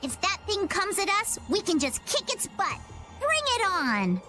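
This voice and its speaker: A high, lively young pirate girl in an anime game. She is plucky and cocky, a little know-it-all, with melodic swings in her speech. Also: a little slow, loud music behind the voice, heavily acted. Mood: feisty. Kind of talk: anime video game dub acting.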